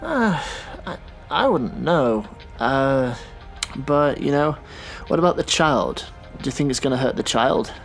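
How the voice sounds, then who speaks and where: A man playing a thoughtful, sceptical taxi driver in a naturalistic audio drama, talking in a casual, halting way. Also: medium pitch, very animated, creaky, soft background music. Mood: concerned.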